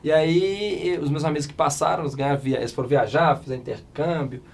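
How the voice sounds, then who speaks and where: A man in his thirties from Minas Gerais with a Mineiro accent, a hoarse startup founder telling stories as a podcast guest. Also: on the high side, fast, a little hiss or hum. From Minas Gerais, Brazil. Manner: chatty, animated storyteller, many restarts. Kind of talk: casual interview storytelling.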